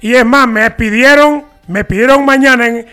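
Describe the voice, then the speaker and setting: A man's voice: very high-pitched, moderate pace, bright, forceful tone, smooth, faint music behind. A man, a sports radio panelist who talks proudly and insistently, repeating himself.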